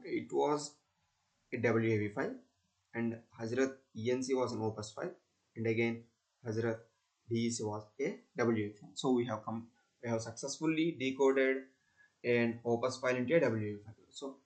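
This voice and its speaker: A young man giving an unscripted screen-recording tutorial as a student presenter, speaking haltingly and repeating himself. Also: mid-range voice, fairly even intonation.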